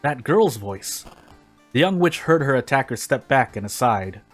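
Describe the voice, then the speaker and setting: A man's voice: medium pitch, animated, moderate pace. Man reading a story aloud over music. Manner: stumbles over words and rereads phrases. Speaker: fan fiction reader on a gaming video. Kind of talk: informal read-aloud of a story.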